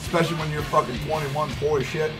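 A man's voice: fairly high pitch, level tone, quick pace. A young man streaming from a headset at his gaming desk, telling a long story in a loose, profane, rambling way full of fillers. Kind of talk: casual storytelling while gaming. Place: indoor room at gaming desk. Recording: background guitar music playing.

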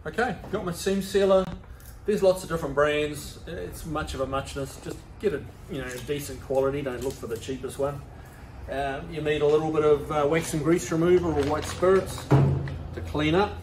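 A man in his sixties with a New Zealand accent gives relaxed, practical tips as he walks through restoration work. His voice sits a little high. He is recorded on a nearby camera mic in a large workshop with faint noise in the background. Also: normal speed, low-quality recording. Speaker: workshop owner demonstrating car restoration. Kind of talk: casual how-to demonstration. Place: large car workshop, indoors.

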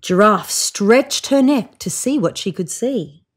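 Slow, expressive woman reading a picture book. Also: medium pitch, husky. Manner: expressive, with voiced sound effects and whispers. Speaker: storybook reader. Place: indoors on a sofa.

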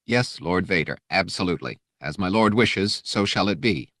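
A man's voice: deep voice, lively intonation, normal speed, fairly bright tone, no room sound, studio quality. A man voicing a nervous, servile alien aide in an audiobook, hesitant and deferential, prone to stammering. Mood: fearful, deferential. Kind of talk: audiobook character voice.

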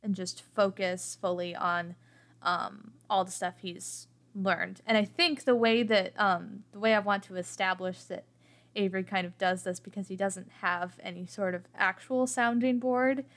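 A woman playing a tabletop game, improvising her character's actions as she thinks aloud in a halting way. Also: mid-range voice, fairly even intonation, a bit bright.

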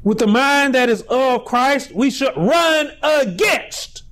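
High, lively preaching man. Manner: preaching with rising, shouted peaks and slow drawn-out phrases. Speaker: pastor. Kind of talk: sermon. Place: small indoor room.

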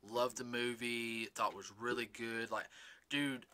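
A man with a slightly raspy voice co-hosting a podcast, chatting casually about a movie and rambling with many fillers. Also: mid-range voice, little pitch movement, unhurried, pushed and bright. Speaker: podcast co-host. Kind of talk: casual movie review chat.

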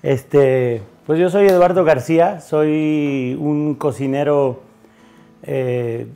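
Halting Mexican man, mellow voice. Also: on the high side, vocal fry, soft background music. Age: 40s. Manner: halting, many fillers and restarts. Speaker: chef and restaurant owner. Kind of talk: interview conversation. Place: bar with bottle shelves. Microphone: lapel mic.

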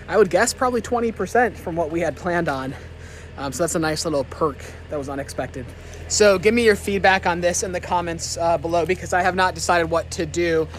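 A man's voice: high voice, a bit bright, a little hiss or hum. A man talking casually to camera at an outdoor building site, thinking aloud and asking viewers questions, recorded on a handheld camera mic. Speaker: vlogger renovating a stone cabin. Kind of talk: casual vlog narration to camera.

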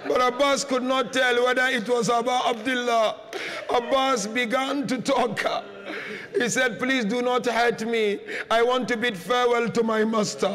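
A man preaching a mourning sermon as a dramatic lament, impassioned and drawn-out, his voice tearful and breaking. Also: very high-pitched, flat delivery, crowd noise. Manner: impassioned, drawn-out, grief-laden delivery. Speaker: preacher reciting a religious lament.

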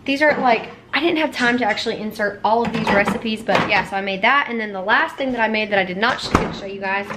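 A woman's voice: mid-range voice, fast talker, background noise. A woman in her thirties talking casually to the camera as a mother making a home vlog. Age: 30s. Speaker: vlogger and mother. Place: home kitchen and outdoor back porch. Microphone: camera at arm's length.

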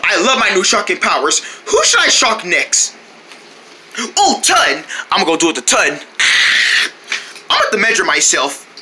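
A man voicing an excitable, mischievous little boy in a homemade character skit, with a childish, singsong way of exclaiming. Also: sits high, normal speed, pauses often, background noise. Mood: gleeful.

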